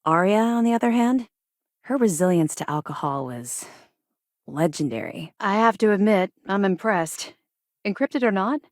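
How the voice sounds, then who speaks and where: Seasoned female military officer for sci-fi game: authoritative, clipped, lower register, close dry recording. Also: no room sound. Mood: dry, guarded. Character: stern, seasoned female space-navy officer, reformed ex-pirate. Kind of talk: video game voice acting.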